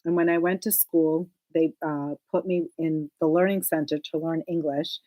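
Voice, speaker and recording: A woman's voice: low voice, fairly even intonation, normal speed, warm tone, low-quality recording. A woman whose first language is Hebrew, co-hosting a casual knitting podcast and chatting with a laugh. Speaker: podcast co-host. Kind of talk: casual chat.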